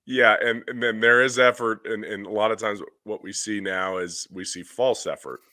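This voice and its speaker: Low, bright-toned coach explaining hitting. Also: male voice, steady tone, normal speed, no room sound. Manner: explanatory, with many restarts and "you know". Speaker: hitting coach and podcast host. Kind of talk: casual podcast discussion.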